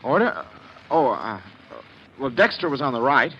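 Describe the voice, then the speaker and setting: An actor playing an earnest, agitated army lieutenant, a flustered murder witness in a 1940s radio mystery. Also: male voice, medium pitch, a little slow. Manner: earnest, excitable, slightly flustered. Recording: muffled, hissy 1940s radio recording.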